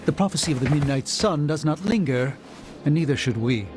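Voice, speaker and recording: An actor playing a grave, pompous sorcerer in a video game, speaking in formal, lofty, verbose phrases. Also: male voice, a little high, animated, fairly quick, natural voice. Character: pompous, grave male sorcerer, master of mystic arts. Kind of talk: theatrical video game dialogue. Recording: loud music under the voice.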